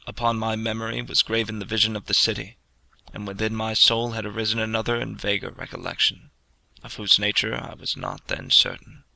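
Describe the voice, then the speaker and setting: A man reading literary fiction as an audiobook narrator, solemn and measured, with dramatic storytelling delivery. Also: lowish voice, lively intonation, creaky, sharp tone, no room sound. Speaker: audiobook reader.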